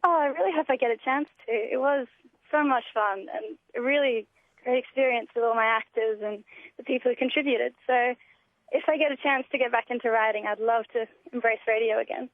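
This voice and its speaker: A young Australian woman talks over a tinny, narrow phone line. Her voice is fairly high and her manner is keen and enthusiastic, like a guest on a casual radio chat. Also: brisk, no room sound. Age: young adult. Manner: enthusiastic, gushing. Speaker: interview guest, student writer. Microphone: telephone call.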